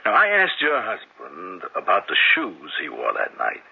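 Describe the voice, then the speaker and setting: An actor playing a persistent police sergeant who questions a witness with coaxing, halting restarts, in a 1970s radio drama. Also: male voice, low-pitched, huge pitch swings, vocal fry, slightly rough, heavily acted. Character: persistent male police detective sergeant, middle-aged. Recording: dull lo-fi old radio recording.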